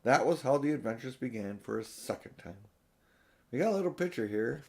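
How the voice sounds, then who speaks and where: A man's voice: medium pitch, lively intonation, fairly quick, creaky, darkish tone, husky. An older man reading a children's storybook aloud, with casual, chatty asides. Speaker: audiobook reader.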